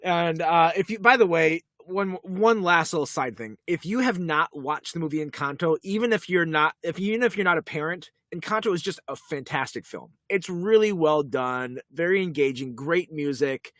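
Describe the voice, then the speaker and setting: A man's voice: a little high, moderate pace, few pauses, no echo. Man chatting on a dry podcast mic. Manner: animated, quotes characters in little voices. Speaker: podcast co-host. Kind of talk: casual chat about a TV episode.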